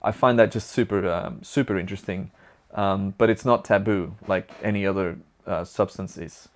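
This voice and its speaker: A man's voice: sits low, fairly even intonation, normal speed. A young man from Belgium with a Flemish accent, a native Dutch speaker, answering viewer questions in a chatty, upbeat and rambling way. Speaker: vlogger.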